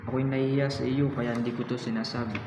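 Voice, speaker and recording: An earnest young hero recounts his life in a radio drama acted by a man with a Filipino accent. His delivery is very even, and the sound is noisy and echoes like a big hall. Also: mid-range voice, fast talker, close to an everyday voice. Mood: earnest, polite. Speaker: student actor in a radio drama. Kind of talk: scripted first-person narration in a student radio drama.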